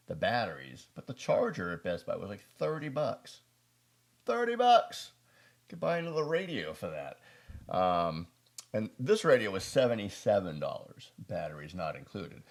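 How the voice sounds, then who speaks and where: An American man hosting a prepper video, talking casually through a product review in a rambling, folksy, self-deprecating way. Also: deep voice.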